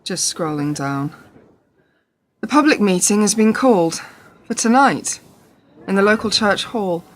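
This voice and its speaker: A woman's voice: mid-range voice, lively intonation, a little slow, lightly acted. A woman playing a principled young colleague who challenges and moralises in a radio play. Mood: cool, reproachful.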